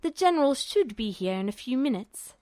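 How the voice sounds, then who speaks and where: A woman's voice: sits high, big pitch swings, brisk, darkish tone, dry recording, clean studio sound. A woman voicing a smug, bullying male military guard who gives curt commands, in a story reading. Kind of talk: audiobook-style character voice.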